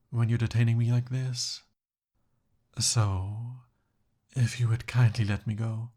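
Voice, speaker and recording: A man acts out a pompous young prince in an ASMR audio drama. His mid-pitched voice is a little husky and takes its time. He speaks in lofty, formal phrases, yet comes across flustered and pleading. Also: mellow. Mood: flustered, haughty. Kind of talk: ASMR roleplay voice acting.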